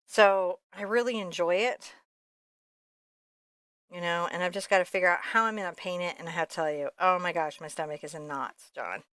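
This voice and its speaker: A woman's voice: on the low side, steady tone, moderate pace, a bit bright, dry recording. A woman giving a casual step-by-step painting lesson, thinking aloud in a candid, informal way with rambling asides. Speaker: painting instructor.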